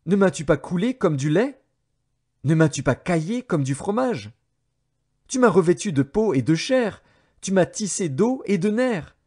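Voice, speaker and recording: A man's voice: high-pitched, sing-song, a little slow, a little mellow, husky. A man reading scripture aloud as scripted audiobook narration.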